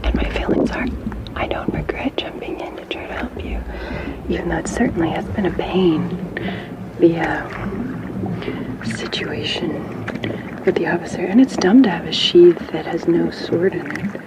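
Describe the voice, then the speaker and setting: A woman playing a playful, sassy young companion to a captive merperson in an ASMR bedtime roleplay, often whispering in a breathy, affectionate way. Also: on the low side, fairly even intonation, low-quality recording. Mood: teasing, then wry. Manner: snarky, affectionate, hushed, chatty and halting.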